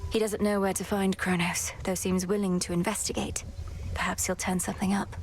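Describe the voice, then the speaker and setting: A woman voicing an earnest, resolute young witch princess in a game, speaking in a measured, quiet way over game music. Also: mid-range voice, little pitch movement, slight background noise, close to an everyday voice. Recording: game dialogue with music behind.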